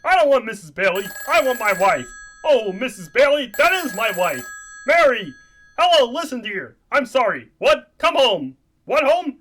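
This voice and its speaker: A man's voice: very high voice, big pitch swings, moderate pace, a bit bright, exaggerated voice. A man voicing an earnest young frog puppet in a comic radio play, urgent and a little hesitant. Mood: flustered.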